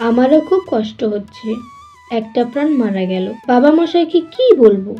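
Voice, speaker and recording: A woman playing a gentle, meek, dutiful youngest daughter-in-law in a cartoon, on a muffled recording. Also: on the high side, animated, moderate pace, soft background music. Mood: sad. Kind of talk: cartoon family drama acting.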